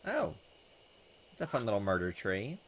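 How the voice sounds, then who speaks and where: A man's voice: lowish voice, very animated, normal speed, a little hiss or hum. A man hosting a let's play, reading visual novel text aloud and chatting in a hesitant, thinking-aloud way. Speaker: gaming video host. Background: game music.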